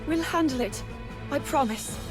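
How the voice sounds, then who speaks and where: Young fantasy game princess played by a woman, high and sincere, gracious delivery over clear background music. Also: normal speed, natural voice. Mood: earnest. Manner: polite, sincere. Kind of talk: video game cutscene voice acting.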